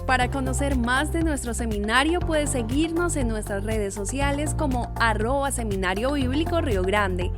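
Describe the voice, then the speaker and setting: A woman reading a scripted announcement for a seminary. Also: fairly high pitch.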